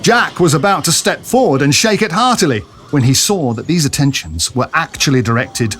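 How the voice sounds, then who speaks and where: Lively male storyteller with swooping pitch. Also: moderate pace, slight background noise. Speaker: narrator. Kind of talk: dramatic scripted story narration.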